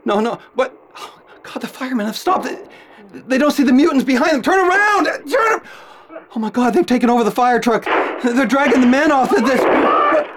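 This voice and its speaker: A man's voice: very high voice, expressive, a little fast, background noise. An actor playing a frightened, earnest radio newsman, stammering urgently through a live report in a radio drama. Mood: alarmed.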